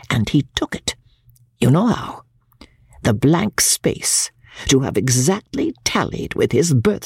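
Woman performing a husky, gravelly, bitter Victorian matron. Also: sits low, darkish tone, no echo, clean studio sound, voice pushed up, heavily acted. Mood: cool, knowing. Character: bitter, proud older Victorian woman confessing by letter. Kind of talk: dramatic audiobook reading of classic literature.

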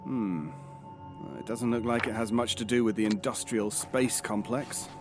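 An actor playing a polite, unflappable fixer with a dry, businesslike manner in an audio drama. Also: male voice, fairly low pitch, fairly even intonation, a little slow, over music, lightly acted. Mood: dry, unimpressed. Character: smooth, businesslike male fixer posing as publisher's agent.

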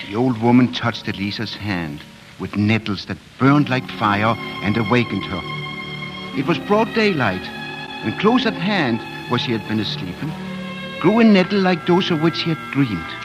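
A man narrating a fairy tale in measured, dramatic 1940s radio storytelling style. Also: mid-range voice, very animated. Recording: dull 1940s radio, music behind.

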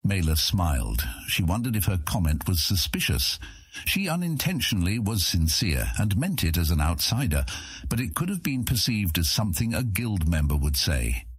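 A man's voice: low voice, very animated, normal speed, slightly rough, no echo. A man narrating a fantasy audiobook in a dramatic, scripted storytelling style.

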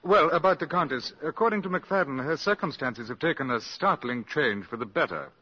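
A man playing a shrewd, courteous British counter-espionage agent in a 1950s radio drama, probing politely with deliberate hesitations. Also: fairly high pitch, animated, breathy edge. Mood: probing, suave. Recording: muffled, reverberant 1950s radio broadcast.

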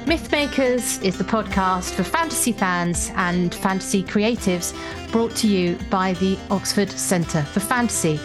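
A woman's voice: medium pitch, animated, a little slow, music in the background. A woman speaking as a podcast host, introducing and interviewing in an articulate, explanatory way.

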